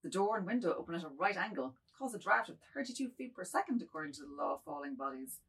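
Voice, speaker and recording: Low, husky woman as a meek aging man. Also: sing-song, fast talker, fairly bright tone, full character voice. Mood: weary, rambling. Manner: florid, meek, rambling. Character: submissive, wordy, fretful aging man. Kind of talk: dramatised literary reading.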